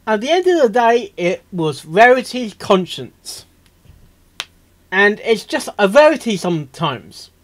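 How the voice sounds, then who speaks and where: A man hosting a reaction video, rambling casually and excitably, stammering and repeating himself as he goes. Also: high voice, a little slow. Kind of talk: casual off-the-cuff reaction commentary.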